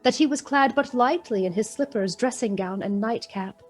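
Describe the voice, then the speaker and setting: A woman playing the storyteller of a Victorian ghost tale, with measured, literary, expressive delivery in a radio play. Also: a little high, faint music behind, close to an everyday voice. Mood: measured, wondering. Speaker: narrator.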